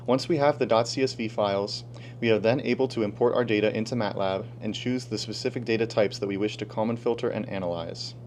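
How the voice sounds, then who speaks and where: A man's voice: on the low side, quick pace, a little mellow. A teenage boy from Pennsylvania giving a scripted student research presentation. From Pennsylvania, United States. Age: teens. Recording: clear background hum and noise.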